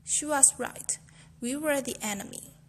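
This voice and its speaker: Young female student, careful earnest recitation, slightly high voice, light background noise. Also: unhurried. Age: young adult. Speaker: student reciting a famous speech. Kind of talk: memorized speech recitation.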